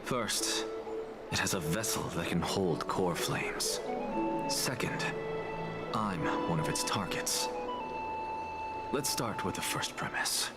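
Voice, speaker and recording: An actor voicing an aloof, self-assured scholar in a fantasy video game, lecturing in a measured, precise way. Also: male voice, low voice, lively intonation, over loud music. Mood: cool, analytical.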